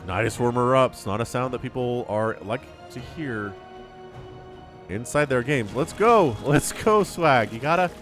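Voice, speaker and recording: A man shoutcasts a strategy game with a lively, widely swinging pitch. He talks casually and chattily, full of slangy asides, sounding slightly sniffly with a cold. Music plays behind his voice. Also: measured pace. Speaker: esports shoutcaster. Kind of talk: casual live game commentary.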